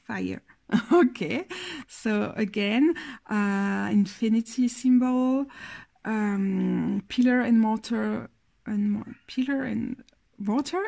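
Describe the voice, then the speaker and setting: Slow-talking Belgian French woman with gravelly fry. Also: a little high, darkish tone. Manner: hesitant, searching for English words, frequent laughs. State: tired. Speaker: tarot deck reviewer. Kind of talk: casual video review.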